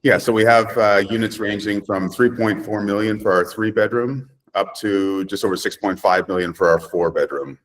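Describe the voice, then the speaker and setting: Man, middle-aged, low voice, from Canada, interview answers, emphatic, short plain statements. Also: moderate pace, few pauses. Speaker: property developer being interviewed.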